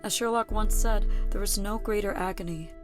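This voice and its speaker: A woman playing a sympathetic, solemn storyteller in an audio play, speaking in a measured way. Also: mid-range voice, steady tone, lightly acted. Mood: solemn, reflective. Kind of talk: audio play acting and narration. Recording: music under the voice. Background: music.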